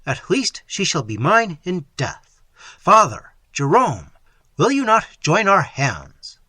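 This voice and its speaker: A male reader voicing a rash young man in love, crying out in loud, impassioned outbursts. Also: high voice, wildly swooping pitch, unhurried, no room sound, pitched above the performer's own voice, heavily acted. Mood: frenzied. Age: young adult. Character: passionate young lover, rash and frenzied.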